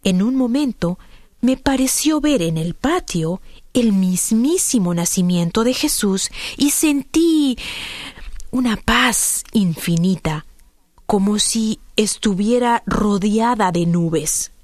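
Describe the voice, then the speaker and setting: A woman's voice: mid-range voice, expressive, takes it slowly, darkish tone, no room sound. A woman, telling a personal story in a heartfelt, emotional way. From Peru. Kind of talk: read personal testimony.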